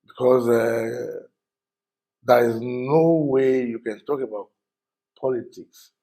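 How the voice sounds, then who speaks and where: Older man, Nigerian accent, podcast guest, halting with fillers, studio talk. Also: mid-range voice, fairly even intonation, mellow, low-quality recording. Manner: halting, with frequent fillers and restarts. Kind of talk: casual interview talk.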